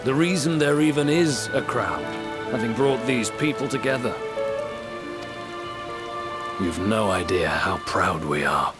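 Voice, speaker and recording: An actor playing a middle-aged bereaved father in a video game, consoling and proud, with a gentle, earnest, steady manner. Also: mid-range voice, measured pace, pauses often, lightly acted. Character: warm, consoling, proud father mourning his daughter. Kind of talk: dramatic video game voice acting. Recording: rain and music behind. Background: rain, music.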